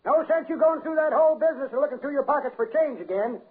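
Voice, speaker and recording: A man's voice: very high voice, fast. An actor playing a chatty, rambling old man with a thin, cracked, folksy voice in a 1950s radio comedy. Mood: cheerful. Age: older. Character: chatty old-timer, rambling folksy teller of tall tales. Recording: muffled narrow-band 1950s radio recording.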